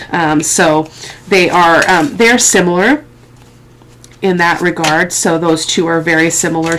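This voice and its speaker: Sniffly, lower-pitched woman crafting on camera. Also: a little hiss or hum. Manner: unhurried, halting, thinking aloud while working. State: sniffly with a head cold. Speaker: crafting tutorial host. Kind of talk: casual, rambling craft process talk. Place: indoors at a craft table.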